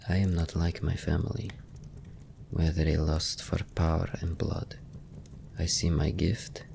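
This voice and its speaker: Man acting a low, gravelly vampire nobleman. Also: a little hiss or hum, heavily acted. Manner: formal, archaic, softly spoken. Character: gentle, sorrowful young vampire nobleman, secretly merciful. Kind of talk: ASMR fantasy roleplay.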